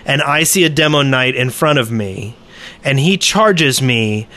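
A man's voice: medium pitch, a little slow, sharp tone. A man co-hosting a casual gaming podcast, talking in a hesitant, self-questioning way. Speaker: podcast co-host.

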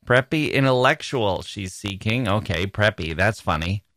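A man's voice: lowish voice, animated, moderate pace, fairly bright tone, no echo, clean studio sound. A man hosting a podcast, giving wry, amused commentary as he reads out personal ads and muses aloud in rhetorical questions. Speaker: podcast host. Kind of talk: humorous solo commentary reading out personal ads.

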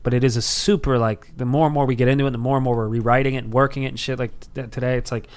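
A man's voice: medium pitch, racing, clear, resonant tone. A man in casual podcast chat, telling a long story in a rambling, profane way and acting out what people said. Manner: profane, rambling, animated storyteller who acts out quotes.

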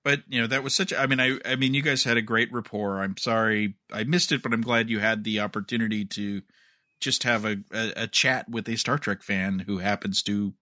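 A man with a fairly low but bright, forward voice co-hosts a casual podcast. He talks in a halting, rambling way, repeating words as he gathers his thought. The sound is dry, with very little room. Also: fairly even intonation, dry recording. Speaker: podcast co-host. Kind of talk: casual chat about TV and fandom.

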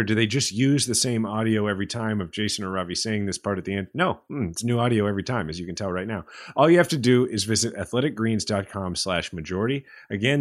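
A man's voice: on the low side, dry recording, studio quality. A man co-hosting a podcast in an emphatic, colloquial style that suits both chat and host-read ads.

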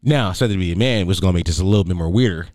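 A man's voice: low voice, rapid-fire, darkish tone, no room sound. A young man hosting a podcast in casual chat. He stutters and restarts, jokes bluntly and laughs often. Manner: joking, teasing, blunt. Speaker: podcast host.

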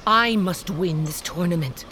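A woman's voice: fairly low pitch, measured pace, bright, forceful tone, slight background noise. A woman playing a proud, battle-hardened warrior queen in an audio drama, with a curt, commanding manner. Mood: grave, weary. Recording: dramatic music behind the voice.

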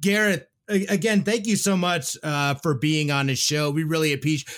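A man's voice: fairly high pitch, fairly even intonation, a bit bright. Appreciative male podcast host. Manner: appreciative, halting with many fillers. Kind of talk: casual podcast interview.

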